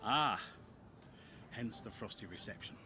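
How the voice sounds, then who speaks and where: An actor playing a blunt, coaxing older racing team mentor in a video game cutscene. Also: male voice, on the low side, lively intonation, measured pace, bright, forceful tone, slight background noise, heavily acted. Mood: blunt, exasperated.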